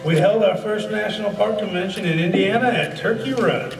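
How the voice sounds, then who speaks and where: A man's voice: sits high, fairly quick, vocal fry. A man playing a rich, grateful parks philanthropist in an amateur radio play, with a formal, declamatory delivery. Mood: proud, earnest. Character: rich, grateful philanthropist, former soap-company tycoon, parks champion. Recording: roomy, distant mic, music behind.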